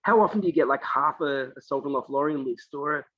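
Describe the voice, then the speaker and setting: Fast-talking Australian man on a dull dry line. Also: a little high, dry recording. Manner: quick, joking banter. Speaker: podcast guest. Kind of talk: casual online interview.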